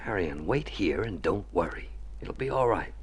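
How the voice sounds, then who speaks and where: A man's voice: deep voice, expressive, moderate pace, noisy background. An actor in a vintage radio drama playing an earnest, protective man who takes charge in a firm, reassuring way. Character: earnest, protective family friend taking charge, patiently firm. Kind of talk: golden age radio drama acting.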